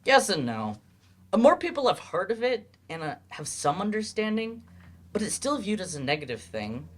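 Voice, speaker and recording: Guarded male adult student, acted by a man: earnest, halting, self-doubting, lively swings in pitch, stage-play delivery. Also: full character voice. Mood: resigned, frustrated.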